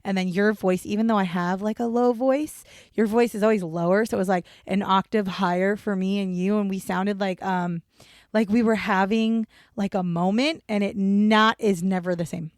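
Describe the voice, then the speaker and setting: A woman's voice: mid-range voice, fairly even intonation, normal speed, no room sound. Chatty laughing woman, dry close mic. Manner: chatty, laughs often, rambling asides, swears. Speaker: podcast co-host. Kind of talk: casual banter podcast.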